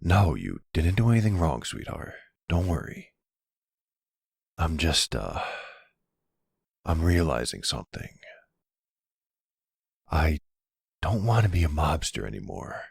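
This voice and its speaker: A man playing a charming, weary older mobster boyfriend in an ASMR audio roleplay, halting and tender in his delivery. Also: very animated, no echo, studio quality, exaggerated voice. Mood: tender, uneasy. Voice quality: very deep, gravelly.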